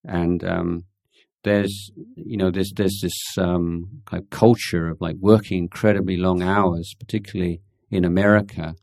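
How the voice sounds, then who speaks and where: A man with a very deep, gravelly voice talks off the cuff, pausing on fillers and doubling back on his words. His delivery is frank with a dry, wry edge, and the sound is close and dry with no room echo. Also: flat delivery, a little mellow. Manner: halting, many fillers and restarts. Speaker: speaker answering audience questions. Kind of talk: unscripted spoken talk with Q&A.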